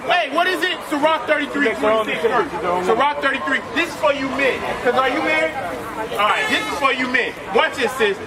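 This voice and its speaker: A man preaching loudly on a city street, shouting emphatic points and ordering a reader to read on. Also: extremely high pitch. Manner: loud, emphatic, often shouting, barking commands to a reader. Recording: distant mic, noisy street crowd.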